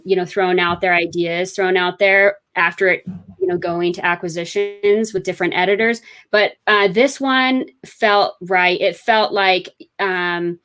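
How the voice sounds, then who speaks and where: A woman's voice: medium pitch, fairly bright tone. Chatty woman author, rambling. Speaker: author guest. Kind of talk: casual interview chat.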